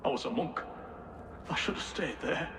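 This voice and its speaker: Solemn ex-monk in long reverb. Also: male voice, on the high side, animated, normal speed, creaky, a bit bright. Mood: remorseful. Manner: solemn, devout, weary. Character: regretful, pious former monk turned warrior. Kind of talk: video game cutscene acting. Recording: reverberant, roomy, faint ambient noise.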